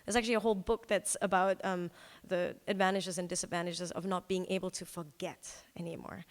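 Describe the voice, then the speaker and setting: Female presenter, conversational talk delivery, informal asides, long hall reverb. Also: mid-range voice. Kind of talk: conference talk presenting a storytelling project.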